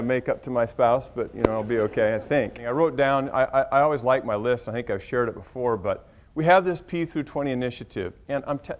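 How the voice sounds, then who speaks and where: An American man speaking as an education administrator in an informal motivational speech, blunt and emphatic, repeating key phrases for weight. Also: mid-range voice.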